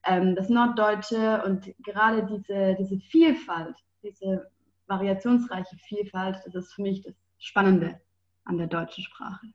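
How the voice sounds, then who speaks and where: A woman's voice: medium pitch, steady tone, slow, muffled recording. A young German woman, a podcast guest, speaking fluently and thoughtfully. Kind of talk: casual interview.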